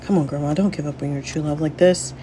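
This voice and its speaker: A woman's voice: low voice, fairly even intonation, quick pace, dark tone, a little hiss or hum, close to an everyday voice. A woman voicing a worried, pensive young granddaughter who meddles as a matchmaker in a story. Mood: exasperated.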